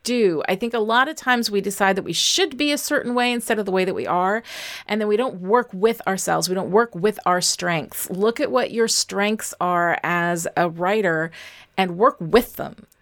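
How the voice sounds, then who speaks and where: A woman's voice: medium pitch, moderate pace, a bit bright. A woman giving informal, encouraging, explanatory advice in a casual instructional chat, as a coach. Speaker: writing coach and guest co-host.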